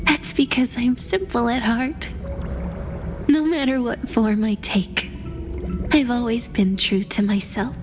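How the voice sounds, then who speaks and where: An actress playing a gentle, sincere young female companion in a video game, reflective and wistful in manner. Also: on the high side. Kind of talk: video game character dialogue. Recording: loud music behind the voice. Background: game music.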